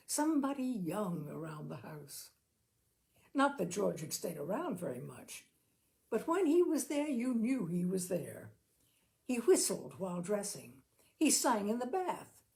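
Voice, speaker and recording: A woman's voice: medium pitch, creaky, a little mellow. A woman narrator reading a literary short story expressively and acting out the characters' dialogue.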